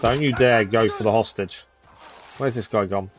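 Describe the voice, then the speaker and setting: A man's voice: fairly low pitch, normal speed, over music. A man in his thirties giving live gameplay commentary, chatting with viewers and muttering at enemies as he plays. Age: 30s. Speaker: streamer.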